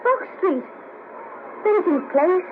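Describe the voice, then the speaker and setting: Brash, friendly Merseyside sex worker, female, high and blunt, 1970s radio drama, patchy thin tape sound. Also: very animated, brisk, background noise. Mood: chatty, friendly. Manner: bawdy, chatty, blunt. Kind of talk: 1970s radio play acting. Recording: patchy narrow-band cassette of 1970s radio broadcast.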